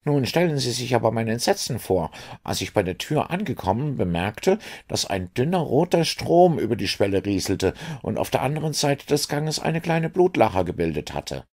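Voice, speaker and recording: A man doing a husky, agitated police inspector. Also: medium pitch, big pitch swings, normal speed, few pauses, darkish tone, pitched above the performer's own voice. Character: gruff, agitated middle-aged male police inspector. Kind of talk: audiobook reading.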